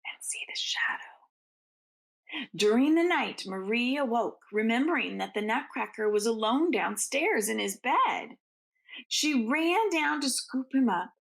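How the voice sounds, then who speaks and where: A woman reads a picture book to children in an unhurried, creaky voice. She stretches out key words for emphasis and slips into soft, casual asides. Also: on the high side, animated. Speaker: teacher reading a picture book aloud to children. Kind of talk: expressive read-aloud storytelling with casual asides.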